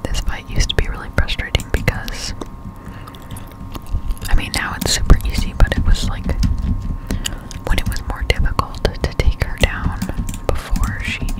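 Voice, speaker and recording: Whispering young woman, close up. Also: poor audio. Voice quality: breathy unvoiced whisper. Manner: casual, slangy, unhurried chat. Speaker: gaming ASMR streamer. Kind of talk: whispered ASMR game commentary. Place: indoor room at home. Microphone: close-up Blue Yeti microphone.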